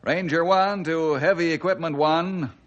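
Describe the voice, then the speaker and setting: Somewhat high-voiced commanding ranger chief. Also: male voice, steady tone, unhurried, fairly bright tone, muffled recording. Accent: American. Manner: authoritative, clipped orders. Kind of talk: radio adventure drama acting.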